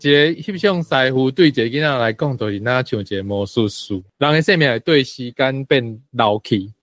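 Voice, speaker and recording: A man's voice: mid-range voice, expressive, moderate pace, no echo, soft background music. Taiwanese man in a dry, close discussion. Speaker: guest panelist. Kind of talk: conversational literary discussion.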